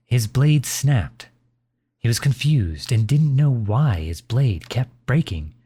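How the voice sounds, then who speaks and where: A man acts out a middle-aged dad in an ASMR audio roleplay. His voice sits low and he takes his time with each phrase. The manner is tender and reassuring, that of a caring father comforting his child up close. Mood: warm storytelling. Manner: tender, reassuring, softly chuckling. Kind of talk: ASMR roleplay, intimate acted monologue.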